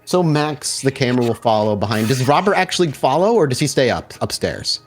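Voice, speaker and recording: A man narrating a tabletop horror game as storyteller, with descriptive, deliberate phrasing. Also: mid-range voice, animated, faint music behind.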